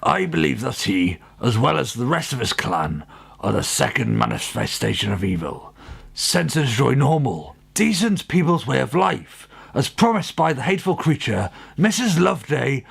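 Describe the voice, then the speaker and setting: An actor in a radio play as an earnest, middle-aged father and churchman, speaking gravely and formally of coming evil. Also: medium pitch, big pitch swings, normal speed, heavily acted. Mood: grave, foreboding.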